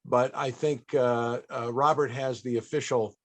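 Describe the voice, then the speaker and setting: An older man hosting an interview, chatty and a bit halting, with frequent chuckles. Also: mid-range voice, steady tone. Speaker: panel host.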